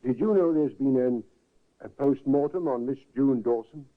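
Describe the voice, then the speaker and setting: A man's voice: medium pitch, moderate pace, natural voice. A man playing a courteous, probing police inspector. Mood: probing calm. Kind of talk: 1950s radio drama acting. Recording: tinny old radio broadcast.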